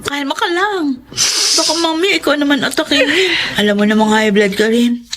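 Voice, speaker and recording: An actress playing an anxious, caring younger sibling in a Filipino radio drama, soothing and earnest. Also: female voice, high-pitched, normal speed, a bit bright. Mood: worried.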